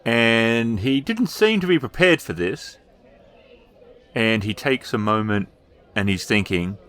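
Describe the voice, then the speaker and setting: A man narrating a tabletop role-playing game as storyteller, improvising descriptions with hesitant pauses. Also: medium pitch, animated, a bit bright.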